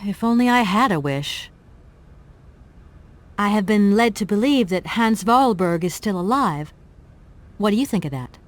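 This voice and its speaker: A woman's voice: mid-range voice, expressive, normal speed, long pauses, lightly acted. An actress voicing a polite, bemused young professional woman in an adventure game, speaking in a thoughtful, conversational way. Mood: wry, curious.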